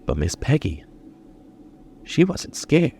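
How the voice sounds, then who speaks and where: An actor playing a lonely, menacing killer in a 1940s-style radio suspense drama, talking in slow, colloquial tough-guy speech. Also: mid-range voice, huge pitch swings, mellow, slight background noise. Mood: weary, resigned.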